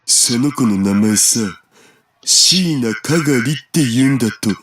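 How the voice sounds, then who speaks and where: A man's voice: medium pitch, animated, brisk, a bit bright. A male actor voicing an evasive, easygoing young friend in a Japanese visual novel, in a hesitant, guarded drawl.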